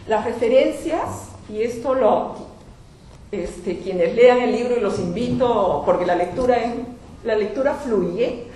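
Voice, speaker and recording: A woman with a Peruvian accent commenting on a book at a public panel, speaking in a measured way and pausing to think aloud. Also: a little high, warm tone. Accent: Peruvian Spanish. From Peru. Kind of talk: spoken commentary at a public event. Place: institute event hall. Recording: very echoey lecture room, faint noise.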